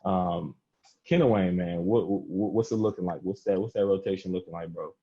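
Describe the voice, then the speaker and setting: A man's voice: sits low, normal speed, warm tone, husky, highs cut off. An American man hosting a casual round-table chat, talking in a slangy, informal way. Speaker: podcast host.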